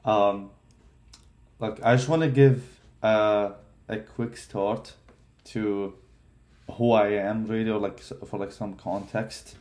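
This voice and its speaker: Low-voiced young man telling his story. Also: steady tone, slow pace, long pauses, darkish tone. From Palestine. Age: 20s. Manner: hesitant, many fillers and restarts. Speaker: vlogger. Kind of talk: casual personal storytelling.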